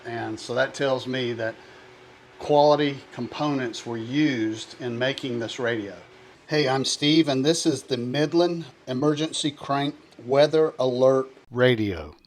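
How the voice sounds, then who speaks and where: A man in the middle of his range for pitch talks through a gadget's buttons and features in a steady, matter-of-fact review. There is a faint hiss behind him. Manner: plain, steady explanation of features. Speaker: product reviewer. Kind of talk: product review walkthrough.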